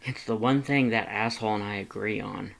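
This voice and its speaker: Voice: mid-range voice, fairly quick, creaky, close to an everyday voice, rough recording. A narrator plays a shy, sulky monster man in an audio story. He grumbles and murmurs his words. There is a pouting, bashful tone to him. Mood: soft, grudging.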